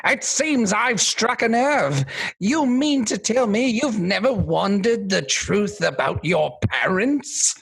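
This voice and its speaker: An actor playing a gloating, theatrical villain king in a radio comedy, taunting and bombastic, with a raspy, creaky voice. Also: high voice, expressive, slow pace, a bit bright, full character voice.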